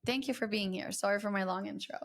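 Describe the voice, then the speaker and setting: A woman hosting a trade podcast, chatty and rambling with many fillers, her voice slightly raspy from a recent illness. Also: medium pitch, brisk. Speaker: podcast host. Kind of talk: casual podcast intro.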